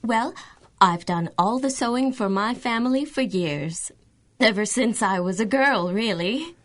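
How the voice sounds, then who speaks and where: An actress playing a humble, eager housewife with a meek, hesitant manner in a 1930s-style radio drama. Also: female voice, fairly high pitch, lively intonation, a little slow. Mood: meek, grateful.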